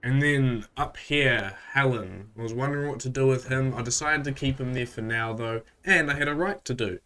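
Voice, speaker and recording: A man with a stuffy voice from a cold, hosting a casual, relaxed recap of a strategy game with frequent fillers. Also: mid-range voice, normal speed, a little hiss or hum. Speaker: gaming channel host.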